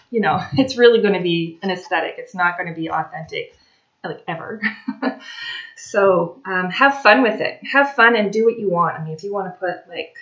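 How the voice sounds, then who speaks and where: A woman in her forties, slightly raspy, chatting casually at home about her craft journal pages with lots of fillers. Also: medium pitch, a bit bright. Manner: chatty, rambling, many fillers. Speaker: craft and tarot vlogger. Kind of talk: casual chat while showing craft pages. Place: home dining room by a china cabinet. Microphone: webcam at desk. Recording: slightly dull lo-fi webcam audio.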